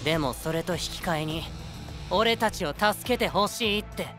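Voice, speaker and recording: A man voicing a blunt young man in an anime voice drama, dry and offhand with a sharp tongue. Music plays behind him. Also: high-pitched, moderate pace, natural voice. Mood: flippant.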